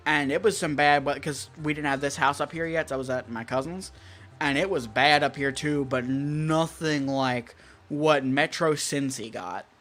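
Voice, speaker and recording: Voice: medium pitch, moderate pace, fairly bright tone. An American stream co-host chatting casually and in technical detail, rambling through features with firm opinions. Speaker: stream co-host, weather radio collector.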